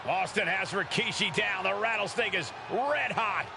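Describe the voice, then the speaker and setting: A man's voice: sits high, normal speed, creaky. A man voicing a fired-up, partisan wrestling announcer in a video game, calling the action with dramatic emphasis. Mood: excited. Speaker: wrestling commentator. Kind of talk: excited sports commentary in a wrestling video game. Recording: loud crowd roar and game noise behind.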